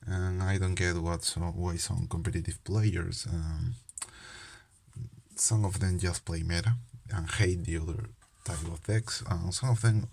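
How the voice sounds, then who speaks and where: A young Peruvian man with a Peruvian Spanish accent talks casually to his channel viewers. His voice sits very low, and he hesitates often, pausing on fillers and restarting his sentences. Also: fairly even intonation, gravelly, a little mellow, husky. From Peru. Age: young adult. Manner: hesitant, many fillers and restarts, self-deprecating. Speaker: channel host, medical student. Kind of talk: casual card game video talk.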